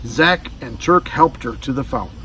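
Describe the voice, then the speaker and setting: A man reading a children's storybook aloud in an expressive, animated style. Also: medium pitch, normal speed. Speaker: storybook reader. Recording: distant mic with faint background noise.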